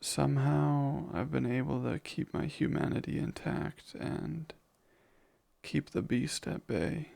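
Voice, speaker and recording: A man acts out a kind, haunted vampire in an intimate ASMR roleplay. He speaks slowly and tenderly, choosing formal words with care. The voice is deeply creaky, full of vocal fry, and hesitant with remorse. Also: darkish tone, exaggerated voice. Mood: solemn, confessional. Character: kind, gentle, remorseful male vampire comforting someone. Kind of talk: ASMR audio roleplay, soft intimate monologue.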